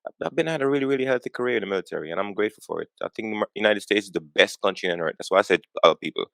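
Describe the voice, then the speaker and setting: Deep fast-talking Jamaican-born man. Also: vocal fry, a bit bright, dry recording. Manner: earnest, repeats words as he gets going. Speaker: guest. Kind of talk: conversational interview.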